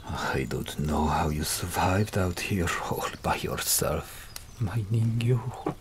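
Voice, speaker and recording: A woman voicing a young Viking man in an audiobook, teasing and tender, with slow, deliberate delivery. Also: very deep voice, expressive, a little hiss or hum, voice pushed down, full character voice.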